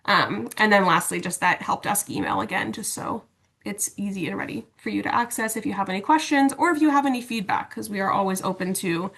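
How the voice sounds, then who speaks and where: A woman giving an informal webinar walkthrough of software over a video call, explaining things in a casual, conversational way. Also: mid-range voice, fairly quick, vocal fry. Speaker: instructional designer and LMS administrator presenting.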